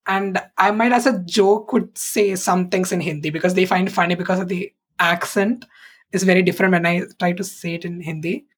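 A woman's voice: fairly low pitch, steady tone, a little fast, husky. A woman in her twenties from India with an Indian English accent, a native Malayalam speaker, chatting as an interview guest and filling gaps with "like" and "uh". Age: 20s. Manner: chatty, fills with "like" and "uh". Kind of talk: casual interview answers.

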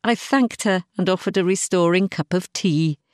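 A woman's voice: on the low side, moderate pace, a little mellow, no room sound. Woman reading a short story, dry close recording. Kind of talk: scripted first-person story narration, diary-like.

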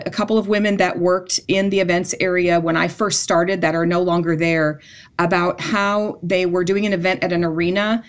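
A woman in her forties speaking in a somewhat low voice. She tells stories from years of event work with earnest feeling, often circling back and restarting her sentences in relaxed podcast conversation. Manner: heartfelt storytelling with frequent restarts. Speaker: events ministry guest.

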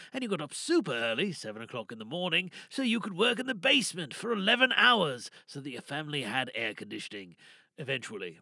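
A man's voice: a little high, pushed and bright, no echo, studio quality. A tired man hosting a podcast in a casual, rambling, self-deprecating and joking way. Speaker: podcast host.

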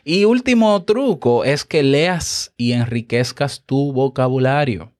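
Male podcast host, Dominican Spanish accent, mid-pitched voice, talks to the listener like a coach. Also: takes it slowly, darkish tone. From Dominican Republic. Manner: coaching, addresses the listener directly with frequent "¿ya?". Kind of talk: instructional podcast monologue. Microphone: podcast recording app.

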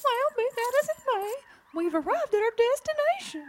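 A woman playing a fussy, earnest, scheming small-town monster pastor in an audio comedy, with a squeaky cartoonish voice and florid manner. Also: big pitch swings, normal speed. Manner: earnest, florid, self-important. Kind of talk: audio comedy drama acting.

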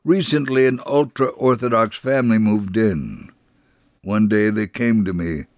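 An actor playing a kindly older Jerusalem man recounting his life in a measured, first-person dramatic reading. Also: lowish voice, dry recording, studio quality, close to an everyday voice. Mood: gentle storytelling.

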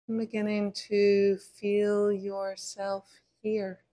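A woman meditation teacher leading a guided body scan in a gentle, unhurried way, pausing between short phrases. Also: mid-range voice, completely flat delivery.

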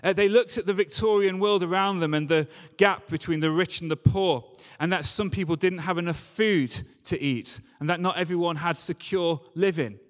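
A man's voice: high-pitched, moderate pace, few pauses. Man preaching in a reverberant hall. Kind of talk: sermon with storytelling. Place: large church hall, speaking to a congregation. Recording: live talk in a reverberant hall.

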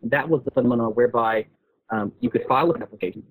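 A man's voice: medium pitch, very fast, slightly rough. A man explaining as a guest expert in an informal discussion, often repeating and rephrasing his phrases. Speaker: guest expert on patent law. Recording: roomy, phone-like call audio.

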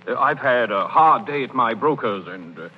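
An actor playing a genial, reassuring wealthy gentleman in 1930s radio comedy, courtly and a little hesitant. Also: medium pitch, expressive, normal speed, close to an everyday voice. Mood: cordial. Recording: dull, noisy 1930s radio broadcast.

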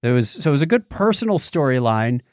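A man's voice: medium pitch, sing-song, fast talker, a little mellow, no room sound, studio quality. A man co-hosting a podcast in casual chat, with a wry, joking way of putting things. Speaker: podcast co-host. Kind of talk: casual chat about shows, films and music.

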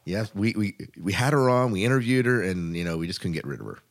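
A man's voice: low voice, sing-song, quick pace. A man hosting a podcast in a casual, chatty way. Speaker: podcast host.

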